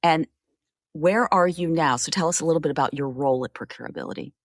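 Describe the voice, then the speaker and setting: A woman's voice: sits low, fast, no room sound, clean studio sound. A woman hosting a podcast interview, speaking in a friendly, conversational and affirming manner. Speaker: podcast host. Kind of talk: hosted interview.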